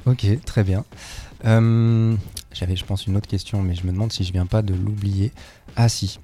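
A man's voice: fairly low pitch, level tone, moderate pace, warm tone. A man interviewing guests, hesitant and searching for his words. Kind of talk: relaxed interview questions.

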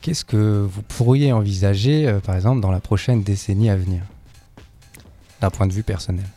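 A man's voice: lowish voice, normal speed, warm tone, faint music behind. A man interviewing guests, hesitant and searching for his words. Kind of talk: relaxed interview questions.

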